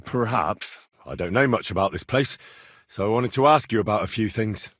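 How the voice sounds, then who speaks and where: A man's voice: fairly low pitch, normal speed, a bit bright, husky, no room sound, studio quality. A man acting an earnest, plain-spoken young medieval man in a lord's service for a video game, with a colloquial, inquisitive manner. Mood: polite, inquisitive. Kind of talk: naturalistic game dialogue acting.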